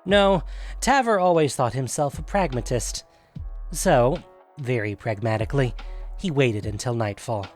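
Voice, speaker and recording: A man's voice: medium pitch, expressive, measured pace, darkish tone. A man reading scripted fantasy fiction as the narrator of a story podcast. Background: faint music.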